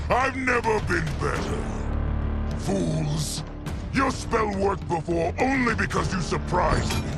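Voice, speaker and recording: A sneering creaky-voiced evil sorcerer, theatrical game villain, loud scary music behind. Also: male voice, sits high, lively intonation, takes it slowly, full character voice. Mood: scornful.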